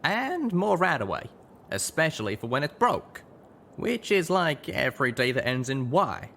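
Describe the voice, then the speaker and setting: Guy doing a brash country-town pony lady, pitched way up, blunt mouth, story reading, some noise. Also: sing-song, normal speed, vocal fry, a bit bright, full character voice. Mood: gruff complaining. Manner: blunt, swearing, colloquial. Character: brash, foul-mouthed adult mare townswoman, proud and rough.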